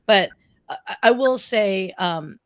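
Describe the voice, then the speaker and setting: A woman's voice: mid-range voice, expressive, slow, fairly bright tone. A middle-aged woman speaking casually as a podcast guest, enthusiastic, emphatic and chatty, often checking in with the listener. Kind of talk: casual conversational interview.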